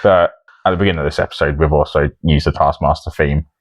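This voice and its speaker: A man with a low-pitched voice hosts a podcast. He speaks in a casual, conversational way, chatting back and forth. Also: fairly even intonation, quick pace, no echo. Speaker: podcast host. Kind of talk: casual chat.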